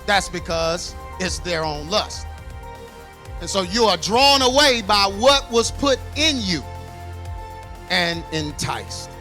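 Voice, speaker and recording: A man's voice: sits high, animated, slow, sharp tone, faint music behind. A man preaching a sermon, calling out questions to the congregation. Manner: preaching with call-and-response questions.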